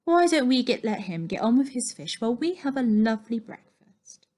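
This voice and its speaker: A firm storybook aunt, acted by a woman reading aloud. She is bossy and brisk, with a commanding way of talking. There is kindness beneath her no-nonsense orders. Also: a little high, very animated, dark tone. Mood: kindly brisk.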